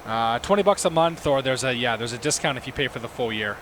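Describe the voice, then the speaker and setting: A hoarse man hosting a livestream, chatting casually and talking himself through each cockpit step as he flies a simulator. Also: medium pitch, expressive, fast talker, fairly bright tone, slight background noise. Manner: talks himself through cockpit steps, chats with viewers. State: losing his voice, hoarse. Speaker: livestream host. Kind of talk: casual commentary while flying a simulator.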